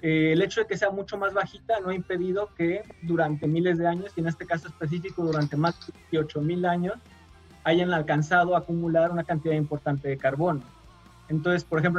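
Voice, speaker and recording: Male guest, from Mexico, patient explainer, slightly high voice, dull lo-fi sound with faint music. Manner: patient explainer with frequent fillers. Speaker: guest scientist. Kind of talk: radio interview, explaining.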